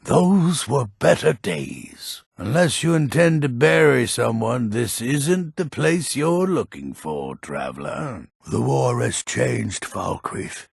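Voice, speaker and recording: A crotchety, distrustful old man from a fantasy video game. His voice is full of gravel and fry, with a worn, husky rasp. He grumbles warily and emphatically, and his pitch swings around in a very animated way. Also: slow, hardly any pauses, dry recording, clean studio sound, heavily acted. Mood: bitter, wary. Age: older. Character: grumpy, suspicious old male villager in a fantasy game.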